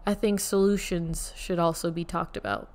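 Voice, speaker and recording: A woman hosting her own podcast in casual solo talk, with a soothing voice, gently guiding listeners through a short meditation. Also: fairly low pitch, steady tone, normal speed. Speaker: podcast host. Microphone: USB studio microphone.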